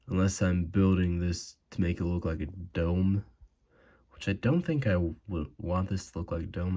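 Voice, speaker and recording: A man's voice: deep voice, dark tone. A man casually thinking aloud and rambling as he draws for his channel. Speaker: drawing channel host. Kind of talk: casual drawing commentary. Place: home room.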